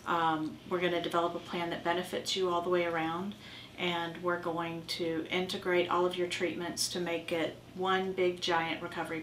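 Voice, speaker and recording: A woman playing a reassuring oncology massage therapist in an amateur role play, explaining in a halting way. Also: on the low side, a bit bright, close to an everyday voice. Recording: roomy, distant mic with faint noise.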